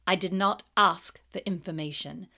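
A woman voicing a cold, sarcastic male teacher who speaks slowly, quietly and with silky menace. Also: fairly low pitch, gravelly, exaggerated voice. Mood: cold, menacing. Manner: slow, quiet, icy and sarcastic.

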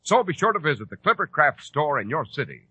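An American man working as a 1940s radio announcer, delivering a polished, emphatic sales pitch. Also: big pitch swings, brisk, bright, forceful tone, husky, no echo. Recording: dull, lo-fi old radio recording.

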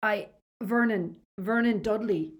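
A woman voicing a tense teenage boy held captive in an audiobook, muttering and hushed, in pain. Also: mid-range voice, slow pace, voice pushed up, lightly acted. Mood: pained, evasive. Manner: muttering, whispering.

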